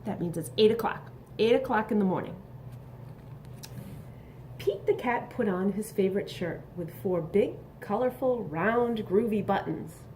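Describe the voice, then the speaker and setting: Slow-paced woman reading to young children. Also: mid-range voice, slight background noise. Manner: slow, expressive, instructive, with exclamations and questions. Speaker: storybook reader. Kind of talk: read-aloud for young children with questions and asides.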